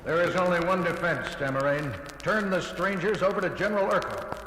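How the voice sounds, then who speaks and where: A man's voice: high-pitched, brisk, bright, forceful tone, reverberant, in a large hall, slight background noise, poor audio. An actor playing a treacherous, scornful and defiant tribesman in a 1970s record drama. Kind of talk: 1970s children's record audio drama acting.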